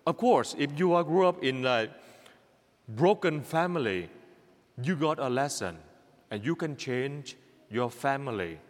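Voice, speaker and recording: Vietnamese-accented priest, slow and lively. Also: male voice, medium pitch, long reverb tail. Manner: repetitive, emphatic, exhorting, simple halting English. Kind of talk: homily.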